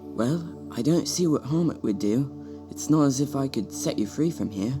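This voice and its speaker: A man's voice: medium pitch, expressive, moderate pace, over music, natural voice. A man playing an earnest, questioning young prince in an amateur radio drama. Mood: puzzled.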